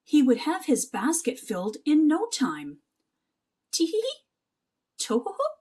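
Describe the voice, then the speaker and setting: A woman narrating a children's picture book aloud as an expressive, animated storyteller. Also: high-pitched, measured pace, breathy edge.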